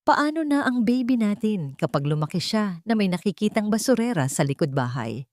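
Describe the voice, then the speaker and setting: A woman's voice: medium pitch, sing-song, normal speed, darkish tone, dry recording, voice pushed up, lightly acted. A woman narrator plays a brazen young Filipino mistress in an audiobook melodrama. She coos sweetly with a cutting, self-assured edge, recorded dry and close. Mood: smug, scornful. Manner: cooing yet cutting, confident.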